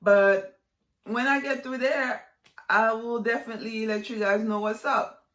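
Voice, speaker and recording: A woman's voice: fairly high pitch, fairly even intonation, measured pace, a bit bright, roomy sound. A woman in her twenties from Haiti, chatting casually to her viewers in a vlog, full of fillers and "like". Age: 20s. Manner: chatty, lots of fillers and likes. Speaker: vlogger. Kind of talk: casual vlog chat to camera.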